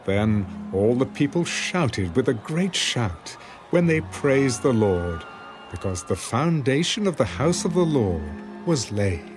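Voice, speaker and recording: A man narrating scripture for a dramatised audiobook in solemn, measured phrases. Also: mid-range voice, big pitch swings. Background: music.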